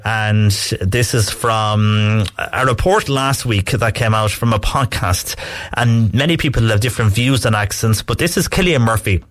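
A man's voice: on the low side, fairly bright tone. An Irish man hosting a phone-in radio show, reading out listener messages with frequent hesitations. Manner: reads messages aloud with frequent "uh" fillers and restarts. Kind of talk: call-in radio talk, reading listener texts.

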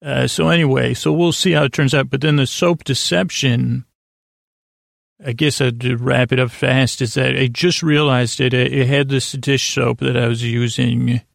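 An American man hosting a sleep podcast, rambling in a halting, meandering monologue with stammers and frequent self-corrections. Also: mid-range voice, fairly even intonation, vocal fry, no echo, studio quality. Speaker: podcast host.

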